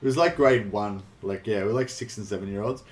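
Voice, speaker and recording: An Australian man chatting casually on a live game stream, rambling and halting, with some swearing. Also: fairly low pitch. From New South Wales, Australia. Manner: rambling, halting, foul-mouthed. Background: faint game music.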